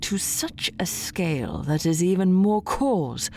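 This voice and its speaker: A woman playing a stern vampire leader in an audio drama, speaking with formal, measured authority. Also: lowish voice, big pitch swings, creaky, background noise, full character voice. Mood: measured, firm.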